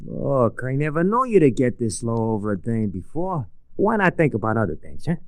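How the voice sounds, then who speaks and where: A man's voice: mid-range voice, sing-song, normal speed, no echo, lightly acted. A man playing a breezy, streetwise American sidekick in 1940s radio drama, with slangy, folksy talk. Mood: coaxing. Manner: slangy, folksy, plain-spoken. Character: breezy, streetwise, loyal male sidekick of an adventurer.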